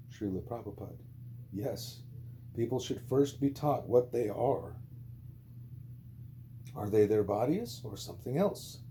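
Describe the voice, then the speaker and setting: A man's voice: mid-range voice, warm tone, a little hiss or hum. A man reading a scripted interview aloud as an audiobook narrator. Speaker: audiobook reader.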